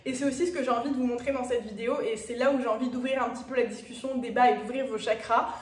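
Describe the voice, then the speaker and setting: A woman's voice: on the high side, fairly even intonation, rapid-fire. Young woman, Parisian French accent, chatty vlogger, echoey room, slightly distant mic. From France. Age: 20s. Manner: chatty, direct address to viewers, frequent fillers. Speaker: YouTuber. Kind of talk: casual personal vlog talk.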